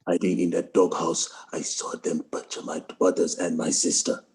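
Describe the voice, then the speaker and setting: A man reading the part of a resolute, sorrowful soldier bent on revenge, in a quiet, deliberate, grave way. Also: low voice, level tone. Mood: bitter grief. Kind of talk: dramatic script table read.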